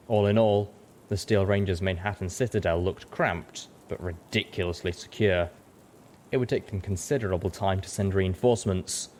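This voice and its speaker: A man reading a story aloud as a dramatic audiobook narrator. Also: darkish tone. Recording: rumbling background noise.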